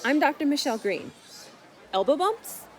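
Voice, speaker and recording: Woman acting a high-voiced, friendly zoo doctor. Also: big pitch swings, moderate pace. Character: brisk, friendly woman zoo doctor in her early forties. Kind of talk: audio drama dialogue.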